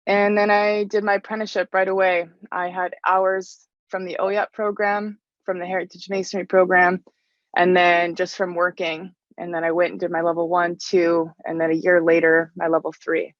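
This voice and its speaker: A woman's voice: lowish voice, flat delivery, normal speed, no room sound, highs cut off. A young woman from Canada, talking casually about her trade in an interview, full of "like" fillers. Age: young adult. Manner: chatty, frequent "like" fillers. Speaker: interview guest, stone mason.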